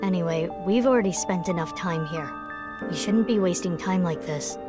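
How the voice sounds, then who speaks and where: A woman voicing a composed young female agent in a video game, speaking in a calm, matter-of-fact way. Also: on the low side, normal speed, natural voice. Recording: game audio with background music.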